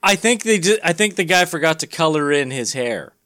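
A man's voice: on the high side, expressive, brisk, bright, forceful tone. A man co-hosting and narrating a comic-book reading, slipping between storytelling and wry casual banter. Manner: wry, joking. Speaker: podcast co-host and narrator.

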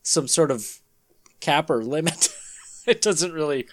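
A man's voice: a little high, expressive, measured pace, fairly bright tone. A man hosting a comedy show, mixing jokey casual banter with dramatic comic narration. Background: music.

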